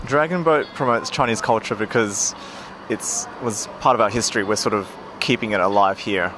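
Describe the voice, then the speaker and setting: Low-voiced man interviewed outdoors. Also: normal speed. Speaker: interviewee, dragon boat paddler. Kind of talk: casual interview answers. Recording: outdoor interview with traffic noise.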